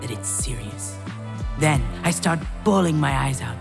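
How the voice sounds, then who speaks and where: A troubled, wry daughter, pitch swinging expressively. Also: female voice, lively intonation, unhurried, gravelly, over music, full character voice. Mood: wry, anguished. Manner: hesitant, wry, emotionally strained. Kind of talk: naturalistic visual novel voice acting, introspective narration and dialogue.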